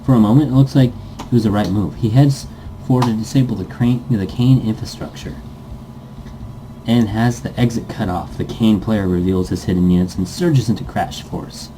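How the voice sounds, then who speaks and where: A man's voice: fairly low pitch, normal speed, dark tone, slight background noise, low-quality recording. A man reading a story aloud as a let's player, stumbling over words now and then and breaking into goofy asides. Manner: stumbles over text and self-corrects, goofy. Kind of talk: story narration read aloud with playful asides.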